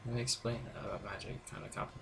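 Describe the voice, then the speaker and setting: A man's voice: on the low side, level tone, fast talker, vocal fry. A man hosting a gaming video, reading the characters' dialogue aloud in a playful, informal way with some stumbles. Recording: very noisy gameplay recording with clicks.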